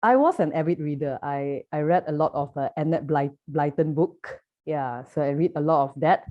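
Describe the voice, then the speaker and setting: A woman's voice: sits low, normal speed. A young woman from Malaysia with a Malaysian accent, chatting as an interview guest with many fillers and restarts. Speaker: poet and artist guest. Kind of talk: casual interview answers. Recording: muffled lo-fi audio.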